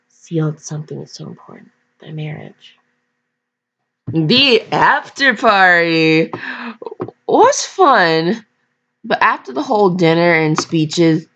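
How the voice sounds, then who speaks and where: A woman's voice: low voice, fairly bright tone. A woman casually reading a story aloud, stumbling and breaking off for chatty, jokey asides. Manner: self-interrupting, stumbling, jokey. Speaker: fan fiction reader. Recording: dull lo-fi microphone.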